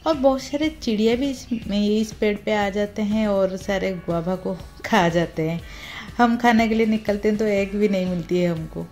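Woman showing off her potted plants, mellow easygoing chat, phone mic outside. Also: medium pitch, faint music behind. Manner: chatty, unhurried narration while filming plants. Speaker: gardening vlogger. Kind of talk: casual home garden tour. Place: home garden and veranda outdoors.

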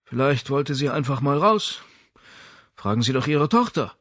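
A man's voice: medium pitch, animated, moderate pace, fairly bright tone, dry recording. Weary, defensive professor, read by a man, dry sound. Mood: evasive. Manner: tired, irritable. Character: big, weary, defensive male music professor.

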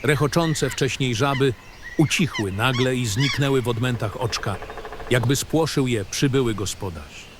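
A man narrating a fantasy audio drama in an expressive, literary storytelling style. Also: medium pitch, normal speed, background noise. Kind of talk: scripted first-person literary narration.

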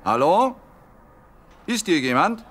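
An actor playing a solemn, authoritative messenger of God in a Bible audio drama, firm and declarative. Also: male voice, fairly high pitch, sing-song, takes it slowly, a bit bright, slight background noise, exaggerated voice. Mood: calling.

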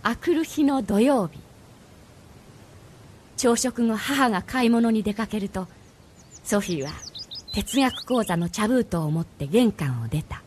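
A woman's voice: mid-range voice, expressive, measured pace, long pauses, a little mellow, a little hiss or hum. A woman narrating a scripted radio drama as its storyteller.

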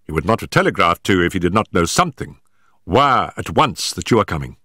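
A man voicing a sharp, self-assured male detective who speaks with crisp emphasis in a storybook reading. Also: deep voice, big pitch swings, quick pace, dry recording, clean studio sound, full character voice. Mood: commanding. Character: sharp, commanding male detective, middle-aged.

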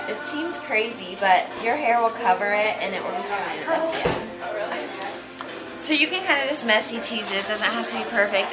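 A woman's voice: fairly high pitch, poor audio. A young American woman giving a casual how-to demonstration as a hair stylist, in an informal, loosely phrased, easygoing manner. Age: young adult. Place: hair salon, indoors. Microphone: camera mic a short distance away. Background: music.

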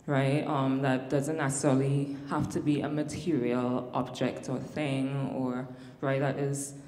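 A woman's voice: low voice, darkish tone. A woman in her thirties with a Trinidadian accent, speaking as an academic panelist in a thoughtful way, with many fillers and restarts. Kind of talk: panel discussion. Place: large hall on stage. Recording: echoey hall with long reverb.

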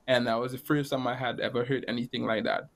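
Man, thirties, mid-pitched voice, casual interview storytelling, home video call. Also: little pitch movement, fast talker, vocal fry, fairly bright tone. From Trinidad and Tobago. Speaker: guest jazz saxophonist. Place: small room at home, indoors. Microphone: laptop or webcam video call.

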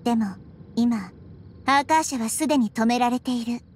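A woman playing a wise, gentle childlike girl deity in an anime game, explaining things in a measured, thoughtful way. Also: a little high, slight background noise, lightly acted. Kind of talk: Japanese anime game voice acting. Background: background music.

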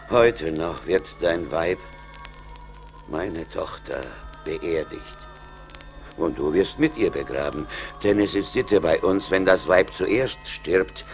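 An actor playing a wealthy, generous nobleman and father-in-law in a German radio play, speaking in a formal, solemn, declamatory manner. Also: low voice. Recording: vinyl record transfer, faint music.

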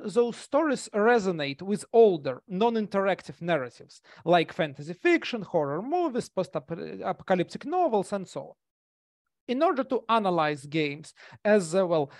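A man's voice: high voice, no echo, clean studio sound. A man, giving an academic talk over a video call on a headset mic, hesitant with many fillers and restarts. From Russia. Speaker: conference presenter. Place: small room indoors. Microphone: gaming headset.

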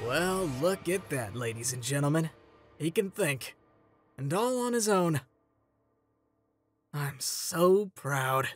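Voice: medium pitch, very animated, normal speed, close to an everyday voice. A performer voicing a smug, sarcastic, petty young trickster in a comic dub, taunting and theatrical, over music. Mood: smug sarcasm. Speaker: voice actor in a fan comic dub. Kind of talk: animated comic dub acting.

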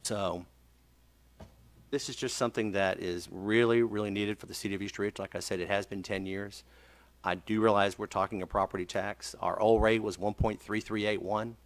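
A man's voice: on the low side, steady tone, moderate pace. A man speaking as a city official, giving formal remarks at a public meeting into a desk microphone. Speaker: city manager. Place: council chamber.

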